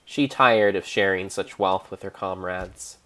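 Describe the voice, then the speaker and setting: A man narrating an improvised story in a theatrical, measured way, pausing between phrases. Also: low voice, a bit bright. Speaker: streamer, narrator.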